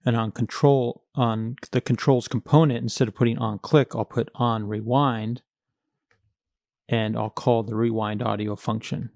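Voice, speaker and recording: A man narrates a programming tutorial step by step in a methodical, plain-spoken way. His voice sits at an average pitch, and the recording is dry with very little room sound. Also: flat delivery, measured pace, vocal fry, a little mellow, dry recording. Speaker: coding tutorial instructor. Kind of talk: screencast coding walkthrough, explaining step by step.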